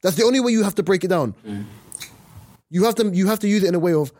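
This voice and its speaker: Husky man, emphatic podcast talk. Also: high-pitched, quick pace, no echo. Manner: animated, emphatic, jokey. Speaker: podcast co-host. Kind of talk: casual podcast chat.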